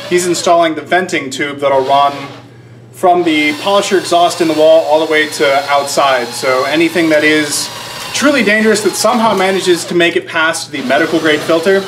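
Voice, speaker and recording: An American man with a fairly high voice talks through a do-it-yourself job in a casual vlog style. He rambles a little and drops in dry jokes at his own expense. Faint room noise sits behind him. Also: normal speed. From United States, Georgia. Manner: rambling, wry self-deprecating asides. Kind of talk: casual instructional vlog, explaining to camera. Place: indoors in a shop workroom under renovation. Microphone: camera microphone at about arm's length.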